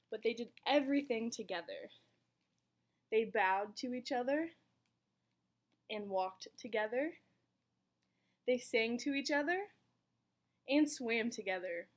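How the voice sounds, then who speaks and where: A woman reading a children's picture book aloud in an expressive storytelling manner, with dramatic pauses. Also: fairly high pitch. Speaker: volunteer story reader.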